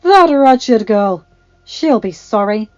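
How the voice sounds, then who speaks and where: A woman voicing an eccentric, excitable old enchantress who exclaims fussily and dotingly in a storybook reading. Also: fairly high pitch, a little slow, no room sound, lo-fi sound. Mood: chuckling, indignant.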